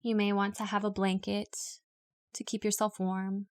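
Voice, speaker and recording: Female meditation guide, mid pitch, flat and steady intonation, calm unhurried scripted instruction. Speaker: yoga nidra meditation guide.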